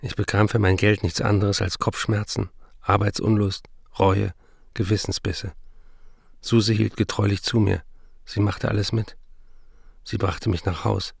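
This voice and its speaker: An actor playing a troubled writer confessing tenderly and remorsefully to his wife in a German radio play, intimate and measured. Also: fairly low pitch, level tone, creaky, breathy edge, no room sound, studio quality, full character voice. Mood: rueful. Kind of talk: German radio play, reading letters and memoirs.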